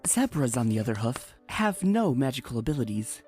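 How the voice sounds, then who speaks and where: A woman's voice: rumbling low, very animated, fairly quick, darkish tone, soft background music. A woman narrator reading a scripted storybook tale.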